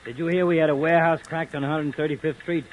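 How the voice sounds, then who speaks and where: An actor playing a blunt, terse police desk sergeant in an old-time radio drama. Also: male voice, a little high, fairly even intonation, fast, dull audio, slight background noise, close to an everyday voice. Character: gruff middle-aged desk sergeant, blunt. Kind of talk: 1940s radio acting.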